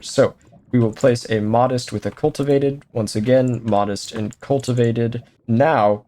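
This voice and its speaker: A man giving casual, self-chatting commentary as he plays a video game, thinking aloud with jokey asides and bursts of excitement. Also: mid-range voice. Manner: sudden excited exclamations. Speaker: let's play host.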